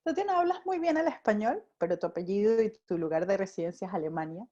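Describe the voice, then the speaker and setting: Softly asking woman host, dull sound. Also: mid-range voice, animated, fast. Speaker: podcast host. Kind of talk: interview questions.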